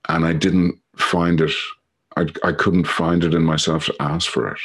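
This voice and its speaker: A man's voice: low-pitched, flat delivery, normal speed, a bit bright, slightly rough. A middle-aged Irish man sharing his story on a video call, rambling with many fillers and a dry, sweary humor. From Ireland. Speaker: recovery meeting speaker.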